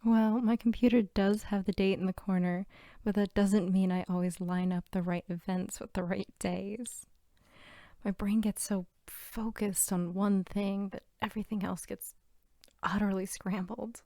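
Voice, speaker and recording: A woman playing a sweet, bookish, playful archivist girlfriend in an intimate ASMR roleplay, soft-spoken and teasing. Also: medium pitch, fairly even intonation, measured pace, dry recording, studio quality, close to an everyday voice. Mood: cozy, affectionate. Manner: soft-spoken, often near whisper, giggly, teasing.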